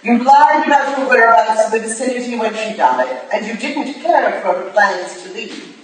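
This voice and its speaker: A woman's voice: on the high side, animated, normal speed, natural voice. A woman playing a stern, shrewd Victorian investigator in a staged reading, commanding and accusing. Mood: interrogating. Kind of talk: staged reading of a period drama. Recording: distant, very echoey room, faint noise.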